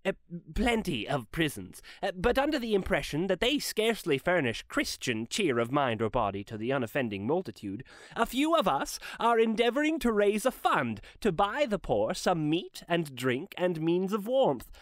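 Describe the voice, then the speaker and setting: A man plays an earnest storybook gentleman seeking charity. He is formal and courteous, stumbling slightly, with very lively intonation and pitched above the narrator. The sound is dry and close. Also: moderate pace, no room sound. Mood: earnest, hesitant. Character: polite, earnest, portly gentleman collecting for charity. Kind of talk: dramatic storybook reading.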